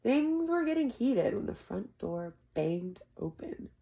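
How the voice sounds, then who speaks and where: A woman with an American accent reading a fantasy novel aloud as expressive scripted narration. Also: a little high, slow, gravelly. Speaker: amateur audiobook reader.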